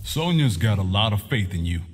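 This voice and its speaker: A man's voice: lowish voice, big pitch swings, moderate pace, long reverb tail, heavy background noise. A man with an American accent playing a stern, protective middle-aged soldier in a video game. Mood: stern challenge. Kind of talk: video game fighter banter.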